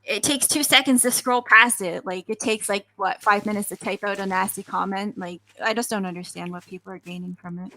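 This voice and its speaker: A young adult woman chatting casually as an interview guest, informal and halting, peppering her speech with filler "like". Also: mid-range voice, steady tone, pushed and bright, poor audio. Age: millennial, young adult. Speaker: interview guest, voice actress and gamer. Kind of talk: casual chat.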